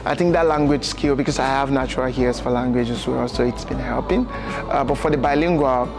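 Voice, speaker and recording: A young Nigerian man whose first language is Yoruba, a guest in a casual interview, relaxed and often adding "you know" and "um", seated in a bright lounge. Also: medium pitch, brisk, music in the background. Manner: relaxed, gestures with hands, fills with "you know" and "um". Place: bright lounge with sofas, indoors.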